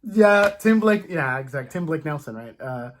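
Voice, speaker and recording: A man chatting casually about films as a host, in an animated, rambling fan's way. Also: on the high side, normal speed, a little hiss or hum.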